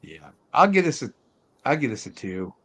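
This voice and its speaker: Man, medium pitch, show host, casual panel chat, hesitant and repetitive phrasing. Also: big pitch swings, normal speed, a bit bright. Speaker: host leading a film review show.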